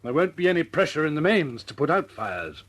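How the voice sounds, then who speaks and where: A man acting in a radio drama as a senior British air force commander, measured and businesslike, with a clipped, understated delivery and a British accent. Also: fairly high pitch, sing-song, fairly bright tone, breathy edge, heavily acted. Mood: grave, businesslike. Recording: noisy old radio recording with clicks.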